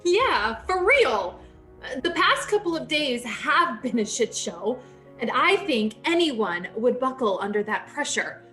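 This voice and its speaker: A woman plays a loyal young sorority girl in a scripted drama. She speaks high and slowly with big swings in pitch, full of encouragement, in an echoey room. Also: very animated, pushed and bright, faint music behind, exaggerated voice. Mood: encouraging. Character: excitable, loyal young sorority sister cheering on her leader.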